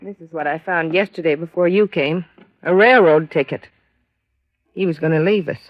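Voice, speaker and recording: An actress playing a bitter, grieving American widow and mother in an old radio crime drama, speaking plainly in weary, halting phrases. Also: on the low side, dry recording, lightly acted. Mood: bitter, wounded. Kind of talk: 1950s radio drama acting. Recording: muffled vintage radio recording.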